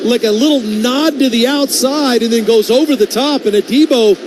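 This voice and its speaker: High-voiced American football color analyst, male. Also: normal speed. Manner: conversational, opinionated, explanatory. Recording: loud stadium noise behind the voice. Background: stadium ambience.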